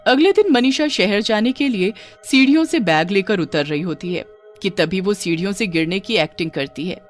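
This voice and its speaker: A woman's voice: mid-range voice, expressive, moderate pace, faint music behind. A woman storyteller reading a scripted horror story in a dramatic narrating style.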